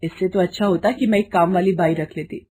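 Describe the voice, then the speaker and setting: A woman's voice: fairly low pitch, steady tone, brisk, darkish tone, slightly rough. Woman voicing a haughty, scolding young daughter-in-law. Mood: irritated. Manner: taunting, complaining.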